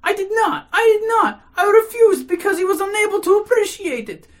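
Woman doing a hysterical rich lady from an old novel, shrill, dramatic, rambling, voice way up high. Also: very animated, a little fast, a bit bright, full character voice. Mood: frantic alarm. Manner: shrill, breathless exclamations and rambling agitation. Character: hysterical, indignant, self-dramatizing Russian society lady. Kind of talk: dramatized classic novel reading.

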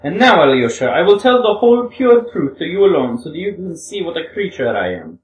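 A man's voice: sits high, sing-song, brisk, slight background noise, pitched above the performer's own voice. A man voicing a proud, nervous young woman who talks heatedly and accuses herself. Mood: heated, confessing. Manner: heated, hysterical pleading. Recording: echoey room, far from mic.

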